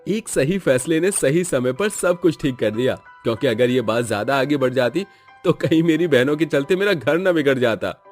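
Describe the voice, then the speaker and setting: A man's voice: mid-range voice, animated, normal speed, faint music behind, close to an everyday voice. A man voicing an earnest, reassuring young husband in a cartoon family drama. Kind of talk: Hindi cartoon story voice acting.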